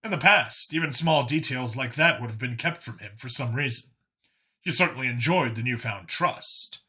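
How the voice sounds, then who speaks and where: A man's voice: medium pitch, animated, moderate pace, pushed and bright. A man reading a scripted story as an audiobook narrator.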